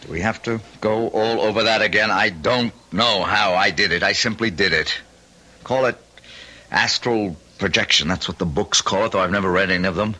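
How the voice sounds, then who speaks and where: An actor playing an eccentric, self-assured husband in an old-time radio drama, intense and condescending, with halting, rhapsodic delivery. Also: male voice, lowish voice, bright, forceful tone. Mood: defensive, earnest.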